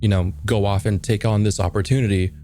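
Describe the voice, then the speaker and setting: A man's voice: lowish voice, fairly even intonation, quick pace, dark tone, faint music behind. A man improvising reflective character talk as a player in a tabletop game, hesitant and earnest. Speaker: tabletop role-playing player.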